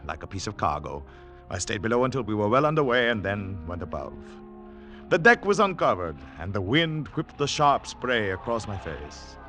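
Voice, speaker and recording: A man playing a weary, restrained wartime agent in love, in 1940s radio drama style. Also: on the low side. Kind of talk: 1940s radio acting with first-person narration. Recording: old radio broadcast, loud music behind.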